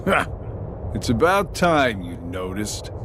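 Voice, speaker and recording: An actor playing a smug, taunting middle-aged villain with a sardonic, mockingly playful drawl in a video game cutscene. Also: male voice, lowish voice, sing-song, takes it slowly, very noisy. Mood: sarcastic. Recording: game soundtrack music behind the voice.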